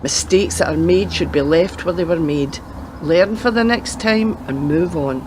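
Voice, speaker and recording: A woman's voice: on the low side, unhurried, background noise, close to an everyday voice. An actress playing a chatty, doting and cheeky old grandmother in a radio play, fussing and teasing as she talks. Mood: earnest, advising.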